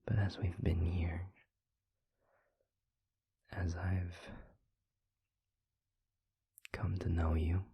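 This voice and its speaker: A man playing a tender, wistful demon lover in an intimate audio roleplay, whispering softly and haltingly in a breathy, husky voice, close to the mic. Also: deep voice, level tone, dry recording, dull audio, exaggerated voice. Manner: slow, halting, soft-spoken delivery. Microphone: close microphone.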